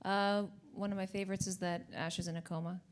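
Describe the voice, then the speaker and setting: A woman's voice: mid-range voice, little pitch movement, quick pace. An American woman from New York, a voice actress, rambling and giggling on a convention panel. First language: Russian. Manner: rambling, self-interrupting, giggly. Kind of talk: casual panel chat.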